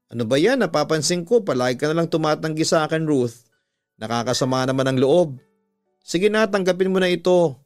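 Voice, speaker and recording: A man's voice: fairly high pitch, animated, brisk, faint music behind, natural voice. A man with a Filipino accent voicing a pushy neighbour woman in a radio story, insistent and reproachful. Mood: wheedling reproach. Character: pushy, smiling neighbour woman pressing food on others.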